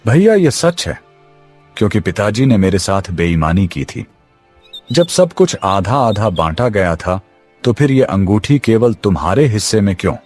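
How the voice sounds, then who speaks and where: A man playing a greedy, excitable younger brother in a village cartoon story, talking in a hurried, agitated way. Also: lowish voice, soft background music. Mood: defensive. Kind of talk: Hindi cartoon drama acting.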